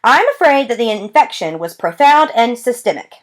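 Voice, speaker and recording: A woman's voice: fairly high pitch, very animated, moderate pace. Small, nervous male dentist, formal and precise, read by a woman.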